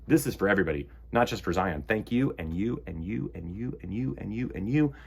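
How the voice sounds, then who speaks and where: A man hosting storytime for children, reading and chatting expressively with dramatic pauses and a husky voice. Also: lowish voice, animated.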